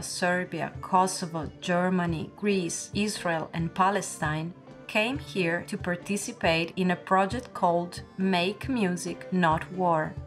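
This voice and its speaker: A woman in her late twenties from Bosnia with a Bosnian accent, narrating a scripted documentary in a reflective, measured manner. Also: fairly low pitch. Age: late 20s. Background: music.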